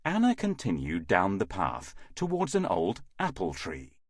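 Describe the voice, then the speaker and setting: A man's voice: low voice, huge pitch swings, a little slow, slightly rough, no echo, studio quality. A man reading a scripted children's fairy tale as narrator.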